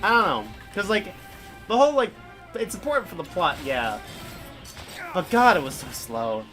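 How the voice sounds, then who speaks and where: A man's voice: high-pitched, very animated, moderate pace, fairly bright tone. A young man streaming a video game, rambling casually with lots of fillers and "like". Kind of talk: casual live game commentary. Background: game music.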